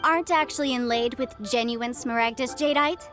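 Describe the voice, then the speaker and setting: A woman voicing a confident, sly young female lawyer in a video game, speaking in a crisp, theatrical and coolly formal way. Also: on the high side, normal speed, a bit bright, lightly acted. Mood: pressing. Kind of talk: animated video game voice acting. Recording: music behind the voice.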